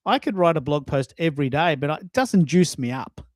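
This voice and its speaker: A man's voice: a little high, lively intonation, brisk, dry recording, studio quality. A man hosting a casual live audio discussion, talking in an effusive, storytelling way with emphatic asides. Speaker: host of a live social audio show.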